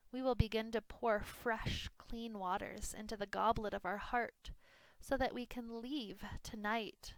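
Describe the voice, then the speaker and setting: A woman's voice: on the high side, clear, resonant tone, no room sound, rough recording. Woman guiding meditation in a dry recording. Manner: soothing, unhurried phrasing with pauses. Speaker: yoga nidra meditation teacher. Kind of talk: guided meditation talk.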